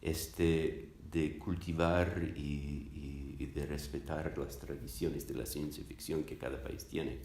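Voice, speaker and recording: A man from the United States whose native language is English gives considered interview answers. His voice sits very low. He searches for words as he explains, and the room adds an audible echo. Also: fairly even intonation, takes it slowly, few pauses, a little mellow. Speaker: interviewed academic, literature scholar. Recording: roomy, echoing sound.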